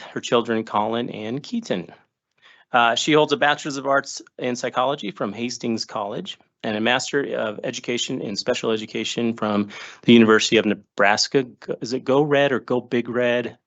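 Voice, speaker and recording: A man introducing new staff at a school board meeting, chatty and relaxed, with joking asides. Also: mid-range voice, brisk, dull audio. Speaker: school district administrator introducing new hires. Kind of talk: informal remarks at a public board meeting. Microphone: table microphone.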